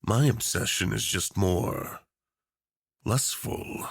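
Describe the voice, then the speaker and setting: A man's voice: on the low side, slow pace, creaky, slightly rough, dry recording, clean studio sound, pitched below the performer's own voice, exaggerated voice. A man playing a charming, obsessive tyrant in a romantic villain roleplay, drawling theatrically with mock politeness, flirtation and playful menace. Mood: seductive, obsessive.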